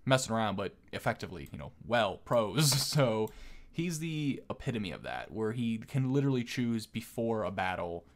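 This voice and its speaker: A man's voice: fairly low pitch, sing-song, normal speed. A man giving casual game commentary as a video host, explaining things in a conversational way.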